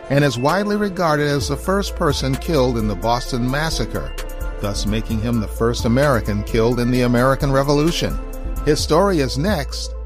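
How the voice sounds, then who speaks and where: A man hosting a radio show, reading scripted introductions and sponsor messages on a close microphone. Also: medium pitch. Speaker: host.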